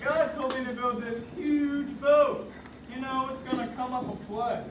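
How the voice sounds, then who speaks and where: Through a noisy, roomy, distant recording comes a very high-pitched ark builder played by a man. He is a tired, folksy puppet-show preacher who speaks slowly and deliberately. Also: steady tone. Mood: weary, folksy. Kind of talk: amateur church puppet show acting. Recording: distant roomy recording, loud noise and crowd.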